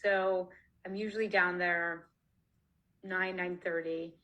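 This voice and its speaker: A woman's voice: lowish voice, level tone, slow, fairly bright tone. A woman author from Canada chatting casually in an interview over a video call, laughing often and restarting her sentences. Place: home, online video call.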